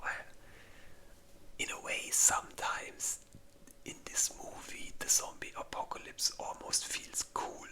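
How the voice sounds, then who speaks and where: An ASMR host whispers in a breathy, unvoiced voice with a bright, crisp edge. The delivery is very slow and informal, with halting pauses, like casual rambling through a list. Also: very high voice.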